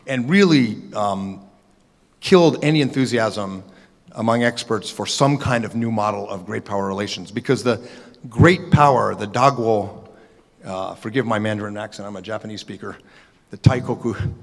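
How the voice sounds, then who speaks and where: A middle-aged man speaking on a conference panel, talking in a measured, analytical way with frequent ums and uhs into a table microphone. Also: on the low side. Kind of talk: policy forum talk. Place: large conference hall. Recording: conference hall reverb.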